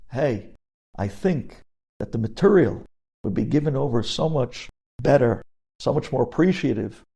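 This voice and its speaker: Mellow-voiced rabbi, emphatic lecturer. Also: male voice, mid-range voice. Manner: emphatic, repeats key phrases for weight; mixes Hebrew terms into English. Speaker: rabbi giving a religious lecture. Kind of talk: sermon-like Torah lecture.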